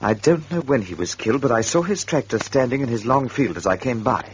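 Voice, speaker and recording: A man's voice: medium pitch, expressive, brisk, slight background noise, natural voice. An actor playing an embittered, defensive man accused of murder, insistent and sardonic, in a radio drama. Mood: earnest, pleading. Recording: dull lo-fi radio recording.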